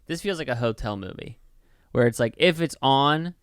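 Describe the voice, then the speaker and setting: A man's voice: mid-range voice, lively intonation, normal speed, smooth, no echo, clean studio sound. A man co-hosting a podcast in casual movie-review chat, slangy and profane, often riffing in mock quotes. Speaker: podcast co-host.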